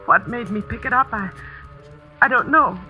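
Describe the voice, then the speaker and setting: An actress playing a tense, determined schoolteacher in a 1940s radio suspense drama, intense and halting for effect. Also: female voice, on the high side, narrow, tinny audio, faint music behind, close to an everyday voice. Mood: suspenseful. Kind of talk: dramatic first-person radio narration, 1940s.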